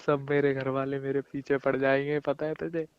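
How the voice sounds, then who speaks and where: A man's voice: mid-range voice, flat delivery, normal speed, smooth, no room sound. A young man chatting casually and intimately with his partner on a recorded phone call, coaxing and pleading in a colloquial way. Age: young adult. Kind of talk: casual intimate phone chat between lovers.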